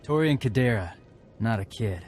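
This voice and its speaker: A man's voice: mid-range voice, animated, moderate pace, a little mellow, soft background music, close to an everyday voice. An actor playing an earnest, respectful young warrior in a video game, terse and sincere in the way he talks. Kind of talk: video game cutscene acting.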